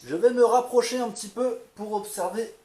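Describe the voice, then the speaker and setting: A polite, formal male interviewer with a high voice. His voice sounds echoey, with faint noise behind it. He speaks with courteous formality. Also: brisk.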